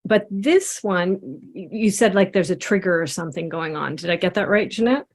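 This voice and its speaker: Gentle woman guiding self-inquiry. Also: lowish voice, moderate pace. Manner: gentle, encouraging, drawing while talking. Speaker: satsang facilitator and coach. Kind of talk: guided self-inquiry session over video call.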